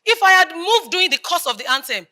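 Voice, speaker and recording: An earnest, patriotic Nigerian woman. Her voice is very high and piercingly bright, swinging widely in pitch as she placates in a theatrical manner. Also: very animated, fast, full character voice. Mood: earnest, placating. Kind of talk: stage play acting.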